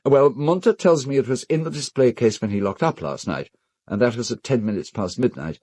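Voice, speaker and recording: An actor playing a fastidious, polite British gentleman host in an audio drama, measured and slightly diffident. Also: medium pitch, expressive, no room sound, close to an everyday voice. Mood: discreet, troubled. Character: courteous, fastidious gentleman host of an island estate.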